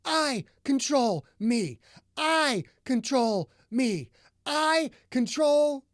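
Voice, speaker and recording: A woman narrator voicing a volatile, bitter young woman who shouts in high, clipped bursts. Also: big pitch swings, a little slow, dry recording, studio quality. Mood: furious.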